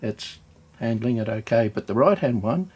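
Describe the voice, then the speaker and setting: A man giving a casual, unscripted hands-on demonstration as a hobbyist video host, hesitant and halting as he thinks aloud. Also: medium pitch, lively intonation, darkish tone, breathy edge.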